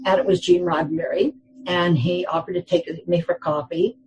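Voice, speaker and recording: A woman's voice: on the low side, fairly even intonation, moderate pace, darkish tone, muffled recording, slight background noise. A woman in her eighties, a guest telling rambling anecdotes in a casual interview, full of fillers and restarts, often laughing.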